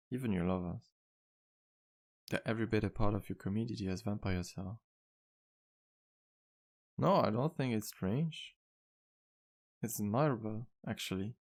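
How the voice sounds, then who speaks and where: Sweet, courteous male vampire barkeep played by a man for ASMR roleplay: deepish, heavy vocal fry, intimate and sincere. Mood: pensive, resigned. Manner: soft-spoken, polite, earnest, flirtatious. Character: charming, sweet male vampire bartender, earnest and wistful. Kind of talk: ASMR roleplay, intimate one-sided dialogue to listener.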